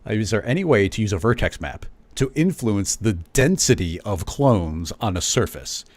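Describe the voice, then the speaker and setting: Laid back host guy, lowish voice, dry sound, reading chat questions. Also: moderate pace, no echo. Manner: relaxed, reads out viewer questions. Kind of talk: livestream talk show.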